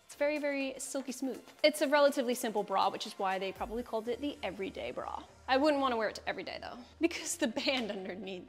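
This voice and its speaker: A young woman chatting casually to her phone camera as a lifestyle vlogger, upbeat and laughing easily. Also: fairly high pitch. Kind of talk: casual vlog chat to camera. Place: car, grocery store and home gym.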